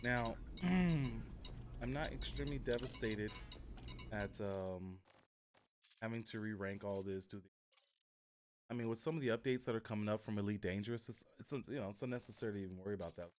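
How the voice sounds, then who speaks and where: A man with a fairly low voice talks through his gameplay for a livestream. His delivery is casual and halting, as if thinking aloud while he plays. There is clear noise behind him on the recording. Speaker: game livestreamer. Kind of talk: casual gameplay commentary and walkthrough. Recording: clearly noisy home stream audio.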